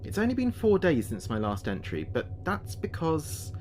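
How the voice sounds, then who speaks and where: A man playing an earnest spaceship communications officer, speaking his log in a measured, precise way for a sci-fi audio drama. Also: fairly high pitch, huge pitch swings, a little mellow, a little hiss or hum. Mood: quietly excited.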